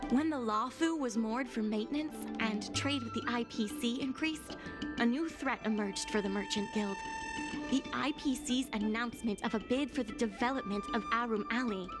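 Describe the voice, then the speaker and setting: An actress playing an earnest, worried young female guild secretary in a video game, speaking in a polite, measured, articulate way. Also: on the high side, very animated. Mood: somber recounting. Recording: music playing behind the voice.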